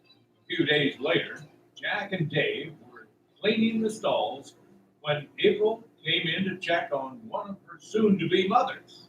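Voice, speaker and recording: High, bright, animated male storyteller. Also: slow pace, muffled recording, slight background noise. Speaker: narrator. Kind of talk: scripted storytelling narration for audio drama. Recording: roomy, distant sound.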